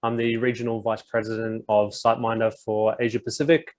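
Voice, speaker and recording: Flat-toned man giving a panel intro. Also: fairly low pitch, brisk. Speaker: panelist, regional executive. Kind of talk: video-call introduction. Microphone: video call.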